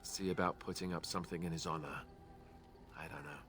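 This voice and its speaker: A young male fantasy game warrior, earnest and quietly self-doubting. His voice sits very low. He sounds subdued and sincere. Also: fairly even intonation, fairly quick, faint music behind.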